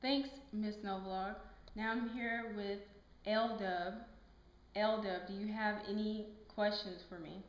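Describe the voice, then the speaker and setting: A woman's voice: mid-range voice, fairly even intonation, unhurried, muffled recording, lightly acted. A woman playing a patient, firm health educator in a scripted puppet skit, talking in an instructive, emphatic way. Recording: echoey room.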